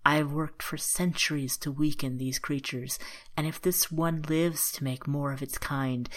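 A woman's voice: low-pitched, steady tone, a little slow. A woman voicing a ruthless, centuries-old male monster hunter who speaks in a cold, coaxing way. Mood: coldly persuasive.

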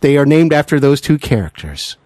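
A man's voice: on the high side, very animated, fairly quick, a little mellow, dry recording, clean studio sound. A man hosting an interview in an enthusiastic, chatty, admiring way. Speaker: interview host.

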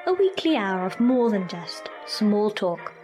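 A woman's voice: medium pitch, sing-song, a little slow. A woman presenting a playful scripted promo for her radio music show.

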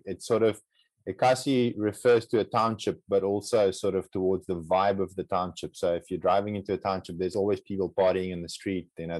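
A man's voice: deep voice, darkish tone, no echo. A man from South Africa whose first language is Afrikaans, speaking with an Afrikaans accent as an interview guest, often repeating words and restarting sentences. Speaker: guest, project co-founder. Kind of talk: casual interview conversation.

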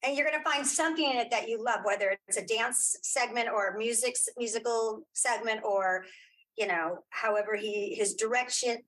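A woman's voice: fairly high pitch, normal speed, fairly bright tone, rough recording. A woman guest chatting casually in an interview, thinking aloud and repeating herself as she goes. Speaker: guest, audio drama creator and filmmaker.

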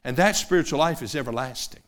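A man, preaching a sermon. His delivery swings from loud, fervent preaching to quiet personal asides. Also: mid-range voice, fast talker. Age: 50s.

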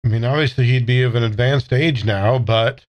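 A man with a mid-pitched voice plays in a tabletop horror game. He speaks casually and conversationally, moving between describing his character and speaking as him. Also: normal speed. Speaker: player in an actual play podcast.

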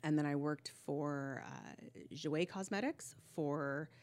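A woman's voice: sits low, slow, darkish tone. A woman from California, a guest on a casual podcast, talks in a plain, dry way in a studio. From Riverside, California.

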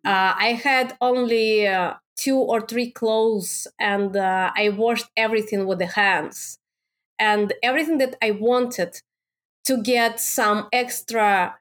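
A woman from Russia with a Russian accent, speaking halting non-native English as a guest in a conversational interview. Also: a little high. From Moscow, Russia. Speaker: podcast guest, business coach.

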